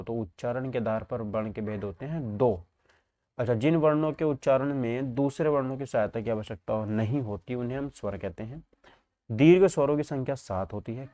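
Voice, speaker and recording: A man's voice: medium pitch, dark tone, no echo. Guy teaching exam prep, asks questions then answers them, really dry close sound. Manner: instructive, often asks himself questions then answers, checks understanding.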